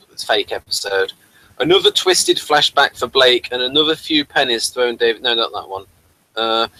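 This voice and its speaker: A man's voice: mid-range voice, pushed and bright, rough recording. A man hosting a casual review chat, explaining things and giving his opinions. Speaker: podcast host reviewing classic TV episodes.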